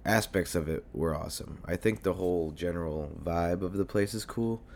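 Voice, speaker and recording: A young man with a very deep voice, made hoarse by a cold, hosts his solo podcast. He rambles casually, swears freely and fills gaps with ums. He sounds chesty and congested, recorded on a desk mic at home. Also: normal speed, a little mellow, slight background noise. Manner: rambling, swears often, many fillers. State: sick, congested and coughing. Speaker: podcast host. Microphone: desk mic near a computer.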